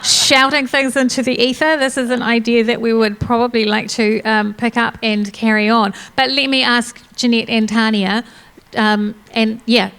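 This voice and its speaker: A woman moderating a conference panel, briskly affirming answers and reading out questions from the room. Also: a little high, gravelly, a bit bright, clear, resonant tone.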